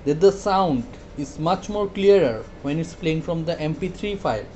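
Higher-pitched man giving a halting demo. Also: expressive, mellow, a little hiss or hum. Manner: hesitant, halting, repeats words. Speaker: product reviewer. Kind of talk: casual product demonstration.

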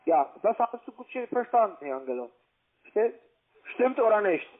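A man with a foreign accent in German, a non-native speaker who argues as a call-centre caller in halting, repetitive, badgering broken sentences. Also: sits high, big pitch swings. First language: not German. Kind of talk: argumentative phone conversation. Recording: narrow, tinny phone line.

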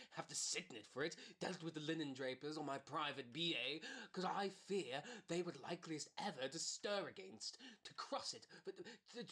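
An actor as a husky, indignant wheedling schemer. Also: medium pitch, a bit bright. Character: wheedling, indignant schemer pitching absurd projects. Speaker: amateur actor. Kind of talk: early modern stage comedy acting.

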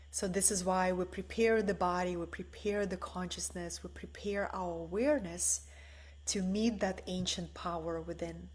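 A woman in her forties, originally from the former Soviet Union, giving a soft, meditative spiritual talk from her living room on a webcam. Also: lowish voice. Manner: slow, soft and meditative, often asking "right?". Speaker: spiritual teacher. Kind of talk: live-streamed spiritual talk. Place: bright living room at home, indoors.

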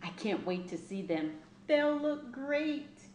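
A middle-aged woman reads a children's picture book aloud in an expressive, sing-song storytelling style, speaking deliberately into a webcam mic in a small room. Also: sits high. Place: small plain indoor room. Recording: roomy echo, faint background noise.

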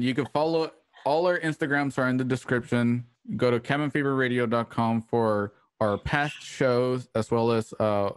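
Male livestream host, mid-pitched, dry close-mic sound. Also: no echo. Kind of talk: signing off an online livestream. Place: home room on video call. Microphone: close microphone with headset.

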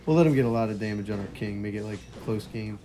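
Hoarse low-voiced young man gaming. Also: quick pace, background noise. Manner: relaxed, chuckles quietly while playing. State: hoarse, partly lost voice. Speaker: mobile game streamer. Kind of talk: casual gameplay commentary.

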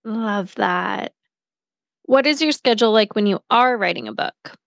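A woman hosts a podcast and asks her guest quick, upbeat questions. Her voice sits at an ordinary pitch, and the sound is dry. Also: animated, creaky, no room sound, muffled recording. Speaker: podcast host. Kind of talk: interview questions.

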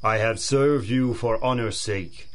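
An actor playing a big, solemn, honour-bound henchman in a radio drama, formal and measured. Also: mid-range voice, lightly acted. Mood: grave. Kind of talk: pulp radio drama acting.

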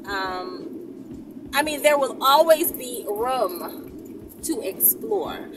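Sassy high-pitched woman in a car. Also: wildly swooping pitch, drawn-out words, creaky. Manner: sassy, emphatic, repeats phrases for emphasis. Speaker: vlogger answering viewer questions. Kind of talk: casual, candid chat to camera. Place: inside a car, often while driving. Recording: noisy car interior, slightly distant mic. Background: vehicle and road noise.

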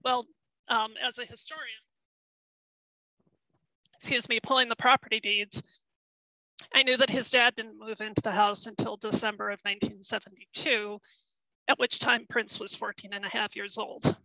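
A woman's voice: a little high, flat delivery, normal speed, long pauses, sharp tone, no room sound, low-quality recording. A middle-aged woman historian giving a careful online talk, hesitating with ums and losing her voice. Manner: explanatory, careful, with frequent ums and self-corrections. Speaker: historian presenting research. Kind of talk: webinar presentation.

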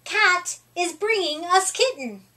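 A woman's voice: very high voice, expressive, slow, bright, forceful tone, pitched above the performer's own voice, full character voice. A woman voicing a roly-poly little mummy boy from a children's storybook, contrary, eager and emphatic. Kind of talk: children's storybook character reading.

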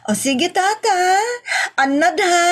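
A woman's voice: very high-pitched, exaggerated voice. A woman with an Ilocano accent playing a coy, smiling, sweet-talking woman in a comedy audio drama, teasing and sing-song.

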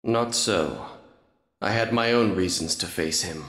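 A man voicing a brooding, noble half-vampire prince in a video game, speaking in a grave, formal, measured way. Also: lowish voice, fairly bright tone. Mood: somber, resigned. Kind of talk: dramatic video game voice acting. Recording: echoing game audio.

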